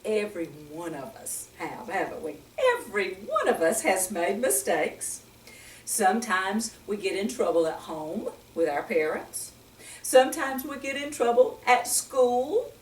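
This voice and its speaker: Older woman, children's story host, lively swooping intonation, unrushed, gentle teacher manner, questioning, echoey room, distant mic. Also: medium pitch, measured pace, slight background noise. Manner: animated, gentle teacher-like, asking the listener questions. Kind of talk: storytime talk to young children with Bible lesson. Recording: roomy echo, distant microphone.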